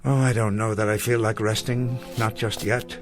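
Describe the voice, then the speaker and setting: An actor playing an elderly, courtly schoolteacher in a radio drama, wistful and nostalgic, speaking in formal, wordy, self-deprecating phrases. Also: male voice, on the low side, flat delivery, normal speed, lightly acted. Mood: weary, polite. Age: older. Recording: music behind the voice.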